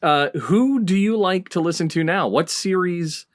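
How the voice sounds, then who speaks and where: A man's voice: high-pitched, measured pace, no room sound. Man interviewing, close dry sound. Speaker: podcast interviewer. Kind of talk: casual interview chat.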